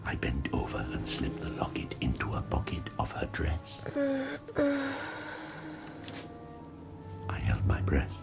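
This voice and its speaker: An actor playing a lonely, tormented, hideous monster in an audiobook drama, eloquent and theatrical, moving between hushed murmurs and fervent pleas, with a gravelly voice. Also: male voice, a bass voice, moderate pace, in a whisper, loud music behind the voice. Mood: menacing, hushed. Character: lonely, tormented, hideous male monster pleading with his maker.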